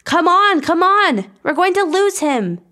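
A woman's voice: high voice, expressive, measured pace, a bit bright, pitched above the performer's own voice, heavily acted. A woman voicing an earnest, loyal, anxious teenage schoolgirl in an audiobook story. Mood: anxious, urgent. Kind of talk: audiobook character dialogue.

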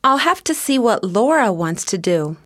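A woman reading a storybook, voicing a shy, nervous teenage girl who speaks in hesitant, halting lines. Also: medium pitch, sing-song, fairly bright tone, no room sound, clean studio sound.

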